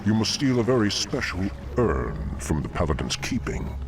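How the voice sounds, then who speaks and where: An actor playing a sinister demon lord in a video game cutscene, commanding, formal and menacing. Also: male voice, deep voice, huge pitch swings, normal speed, music in the background. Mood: stern, instructing.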